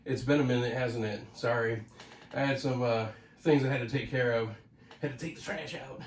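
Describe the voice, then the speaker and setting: A man's voice: medium pitch, normal speed, reverberant, distant mic, a little hiss or hum. A man hosting his own channel, talking casually and a little nasally because of a head cold, rambling with lots of fillers and restarts. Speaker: host of a record collecting channel. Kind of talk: casual solo talk to camera.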